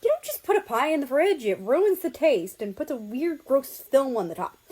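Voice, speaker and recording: A woman's voice: high voice, big pitch swings, moderate pace, warm tone, a little hiss or hum, voice pushed up. Woman voicing a feisty, playful young woman. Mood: huffy, insistent.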